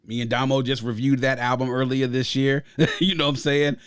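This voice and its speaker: A man's voice: mid-range voice, flat delivery, fairly quick, fairly bright tone, smooth, no echo. A man from Grenada hosting a casual podcast review of an album, talking enthusiastically and at length, often adding "you know what I'm saying". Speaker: podcast host. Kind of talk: casual music review chat.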